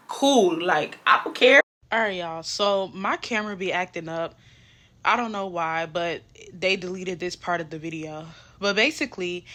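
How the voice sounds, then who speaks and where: A woman, telling a casual storytime in an animated, dramatic, slangy way. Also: mid-range voice. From Houston, Texas. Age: 20s. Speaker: vlogger.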